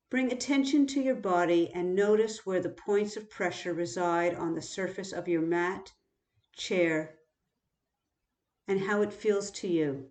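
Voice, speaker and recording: A woman guiding a body scan meditation in a calm, unhurried, instructional way, leaving long pauses. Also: mid-range voice. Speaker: meditation teacher. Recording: roomy, echoing sound.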